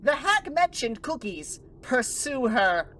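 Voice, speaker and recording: A woman's voice: high-pitched, very animated, slow pace, noisy background, heavily acted. A woman voicing an imperious, haughty commander who gives orders in a pompous manner, in a cartoon fandub.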